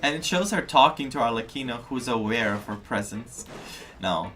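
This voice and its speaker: A man's voice: medium pitch, lively intonation, normal speed, fairly bright tone, a little hiss or hum. A man streaming a game live, casually musing and reacting aloud.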